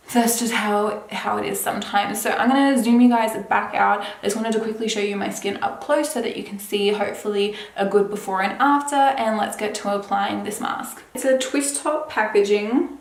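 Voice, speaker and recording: Chatty woman vlogger in an echoey room. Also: a little high. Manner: chatty, with many fillers. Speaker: beauty vlogger. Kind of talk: casual product review.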